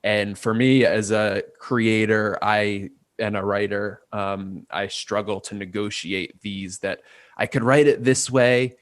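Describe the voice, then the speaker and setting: Reflective New man, low voice. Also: fairly even intonation, slow, no room sound. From Port Chester, New York. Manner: reflective, thinks aloud from many angles. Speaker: guest, artist and designer. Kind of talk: casual interview conversation.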